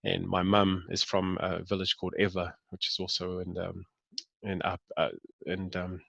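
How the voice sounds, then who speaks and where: A man's voice: sits low, completely flat delivery, moderate pace, creaky, a bit bright, no room sound. A man in his fifties with a New Zealand accent, talking about himself in a casual interview, hesitant with many fillers and restarts. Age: 50s. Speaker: interview guest.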